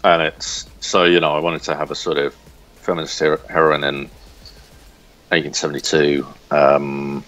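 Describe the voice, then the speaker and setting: Man, very deep voice, halting delivery, casual interview guest, lo-fi dull sound. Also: little pitch movement, a bit bright, faint music behind. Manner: hesitant, halting, searching for words. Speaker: interview guest, comic book writer.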